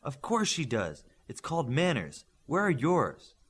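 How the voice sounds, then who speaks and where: A man voicing a brisk, bossy young Japanese superheroine in an audiobook, speaking in an assertive, instructing way. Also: fairly high pitch, huge pitch swings, a bit bright, slightly rough, voice pushed up, exaggerated voice. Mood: scolding, insistent.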